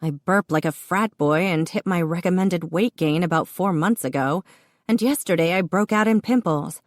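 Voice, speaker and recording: A woman's voice: lowish voice, moderate pace, no room sound, studio quality, close to an everyday voice. A woman voicing a heavily pregnant young woman who teases her brother in a bubbly, gushing way. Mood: teasing.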